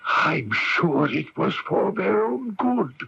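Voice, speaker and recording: An actor playing a vengeful, haughty phantom accuser in a radio mystery drama, with a raspy, menacing, condescending delivery. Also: male voice, sits high, big pitch swings, takes it slowly, sharp tone, full character voice. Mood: scornful. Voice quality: raspy, creaky. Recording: dull lo-fi radio recording.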